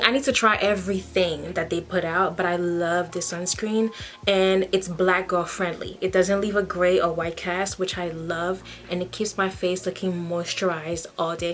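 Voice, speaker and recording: A young woman from Haiti, chatting casually through a get-ready-with-me skincare routine as a beauty vlogger, frank and quick to ask "okay?". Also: on the low side.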